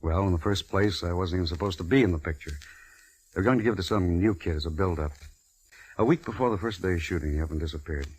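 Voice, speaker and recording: An actor playing a smooth, self-pitying male Hollywood star, languid and flirtatious, in a 1940s radio drama. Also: deep voice, fast, poor audio. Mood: brooding.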